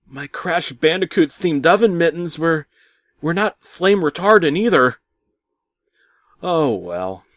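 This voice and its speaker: A man narrating a comic first-person horror tale as a storyteller, theatrically shifting between hushed asides and loud exclamations. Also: lowish voice, no echo, clean studio sound. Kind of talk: dramatic first-person creepypasta narration, comedic.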